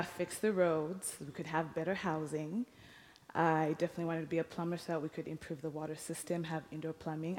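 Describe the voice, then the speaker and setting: A young woman with a Ugandan accent giving a reflective, lightly humorous personal talk in measured phrases. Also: low-pitched. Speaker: speaker telling personal story. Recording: reverberant hall.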